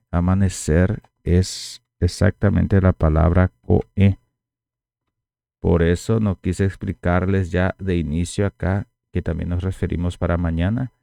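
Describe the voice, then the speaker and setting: Man, Guarani native, language teacher, dark voice, dry close sound, repeats words slowly. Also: low voice, vocal fry, no echo. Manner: slow, repeats words for learners to copy. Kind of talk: language lesson.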